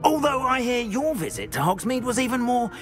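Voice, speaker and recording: An actor playing a nervous, fussy middle-aged castle caretaker from Korea, apologetic and theatrical, in a video game. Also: male voice, very high-pitched, very animated, brisk. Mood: courteous, anxious. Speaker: school caretaker. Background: game music.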